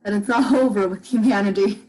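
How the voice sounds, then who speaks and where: A woman's voice: mid-range voice, steady tone, a little fast, darkish tone, close to an everyday voice, low-quality recording. A young woman playing a lost, despondent wanderer in an amateur radio play, speaking in a hesitant, subdued, questioning way. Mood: uneasy. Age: young adult. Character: lost, despondent wanderer after an alien invasion. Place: living room. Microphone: headset on video call.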